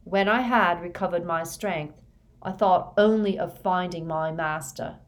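Woman as flat, dazed villain confessing. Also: fairly low pitch, measured pace, close to an everyday voice. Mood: dazed, emotionless. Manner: flat, expressionless, drugged monotone. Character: dazed younger male villain, fanatical servant, confessing emptily. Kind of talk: audiobook character voice.

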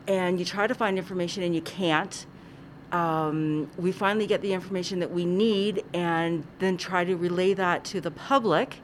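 A woman's voice: lowish voice, a little hiss or hum. A woman who co-manages a cinema, chatting earnestly in an interview recorded in a theatre lobby, with many ums. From Ontario, Canada. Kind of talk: casual interview.

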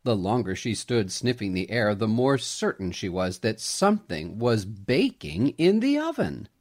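A man reading a story aloud as scripted narration, with an expressive, dramatic storytelling delivery. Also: fairly low pitch, measured pace.

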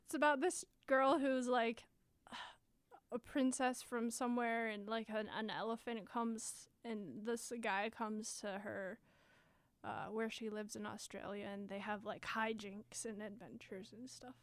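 A woman's voice: a little high, steady tone, measured pace, no echo. A woman hosting a podcast, chatting in a playful, teasing way. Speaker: podcast host. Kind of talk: casual podcast chat.

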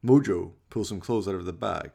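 A man's voice: deep voice, moderate pace, vocal fry, mellow, husky. A man narrating a scripted radio play in a dramatic storytelling manner.

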